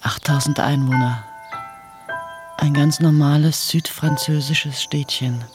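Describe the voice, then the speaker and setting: A woman's voice: sits low, fairly even intonation, takes it slowly, music in the background. An actress playing a wry journalist who narrates her observations in dry, clipped phrases for a radio drama. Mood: dry, observant. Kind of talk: slow first-person radio drama narration.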